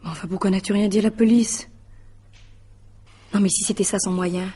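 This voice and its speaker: An actress playing a suspicious mistress in a French radio mystery drama, probing and sarcastic with a husky voice. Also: female voice, medium pitch, steady tone, moderate pace, warm tone, a little hiss or hum, lightly acted. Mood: anxious, probing. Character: sharp, suspicious mistress pressing her lover for truth.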